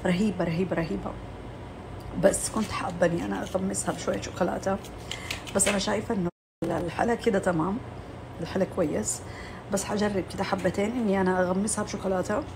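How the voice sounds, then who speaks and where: A woman's voice: mid-range voice, fairly even intonation, quick pace, noisy background. A woman chatting to her followers in a tired voice, gushing and repeating words for emphasis. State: tired voice, coughing. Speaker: cooking vlogger. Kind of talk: casual chat to followers. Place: home kitchen, indoors.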